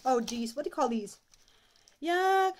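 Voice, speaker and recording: A woman's voice: high-pitched, animated, unhurried. Chatty woman vlogging from her kitchen. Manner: chatty, holds items up to the camera. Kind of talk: casual chat. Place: small apartment kitchen, indoors. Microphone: phone camera at arm's length. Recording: noisy phone recording.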